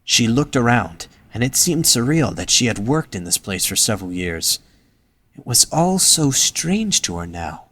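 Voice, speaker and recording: A man's voice: medium pitch, sing-song, unhurried, fairly bright tone. A man reading scripted narration for an audio drama as its narrator.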